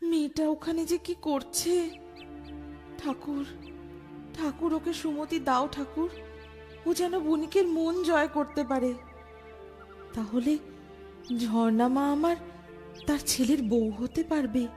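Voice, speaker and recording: A woman's voice: sits high, slow, pauses often, darkish tone, faint music behind. A woman playing an anxious, devout village mother in a fairy-tale cartoon, speaking in a pleading, prayerful way. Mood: worried, pleading.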